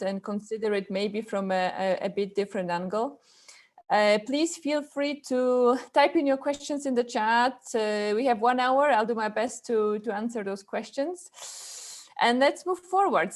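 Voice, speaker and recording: A woman from Poland with a Polish accent gives an informal online presentation, speaking in halting phrases with repeated words. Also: on the high side. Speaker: webinar presenter, chapter president.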